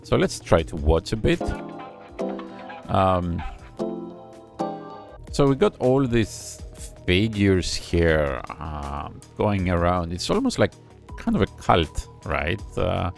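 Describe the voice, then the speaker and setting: A man's voice: low voice, gravelly. A man hosting a music reaction channel, casually analyzing a track while thinking aloud and humming chords to explain. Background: faint song playing.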